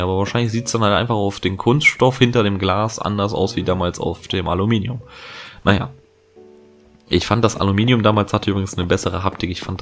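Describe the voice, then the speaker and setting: A man chatting casually over gameplay as the host of his let's play, rambling with many fillers and restarts. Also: on the low side. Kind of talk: casual gaming commentary.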